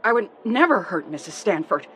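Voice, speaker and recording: A woman's voice: medium pitch, big pitch swings, normal speed, bright, forceful tone, a little hiss or hum, low-quality recording. An actress playing an older woman who has served as a longtime secretary, earnest and protesting her innocence in a radio drama. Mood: defensive.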